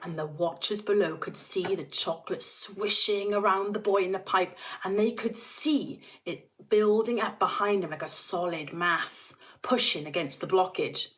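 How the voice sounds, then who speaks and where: A woman's voice: medium pitch, moderate pace, hardly any pauses, a bit bright, poor audio. A woman, a teacher reading aloud, narrating a children's story expressively.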